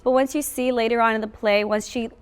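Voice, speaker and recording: A woman's voice: a little high, steady tone, quick pace, a little hiss or hum. A teenage female student actor explaining things casually in an interview. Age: teens, high school student.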